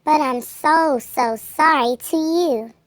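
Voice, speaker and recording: A woman's voice: sits high, slow pace, no room sound. A woman voicing an earnest, remorseful young heroine from a storybook, with a tiny, plaintive delivery. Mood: tearful apology.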